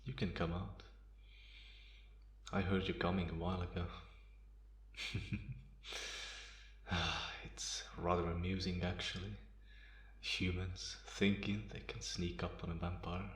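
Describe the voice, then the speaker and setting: A man playing a calm, menacing male vampire in an ASMR roleplay, speaking in a deliberate, taunting way in a roomy, echoing space. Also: low voice. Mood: amused, taunting. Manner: deliberate, softly chuckling, taunting. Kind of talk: ASMR roleplay, slow intimate monologue.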